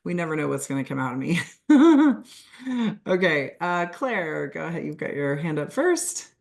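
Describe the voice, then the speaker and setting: A woman coach leading a live group call, her voice still a little hoarse, talking in a friendly, informal way with many restarts. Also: low voice, big pitch swings, moderate pace. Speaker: weight-loss coach.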